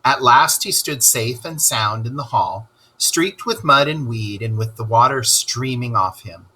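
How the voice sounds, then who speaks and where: A man narrating a children's story aloud in an expressive storytelling style. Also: medium pitch, unhurried, slightly rough.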